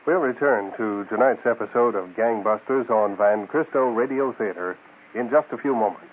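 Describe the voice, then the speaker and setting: An American male announcer reads scripted radio copy in a medium-pitched voice. The recording is narrow and tinny, with clear noise behind it. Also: normal speed.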